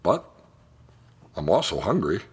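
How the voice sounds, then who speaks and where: A man's voice: low voice, sing-song, a little slow, a little mellow, breathy edge, full character voice. A man voicing a tough, boastful little female cat in a children's storybook. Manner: boastful, self-assured.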